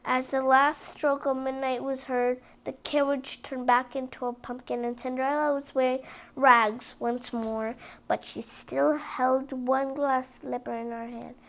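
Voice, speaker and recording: A woman's voice: sits high, little pitch movement, unhurried, fairly bright tone. A woman reading a children's story aloud, stumbling now and then over the words. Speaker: storybook reader.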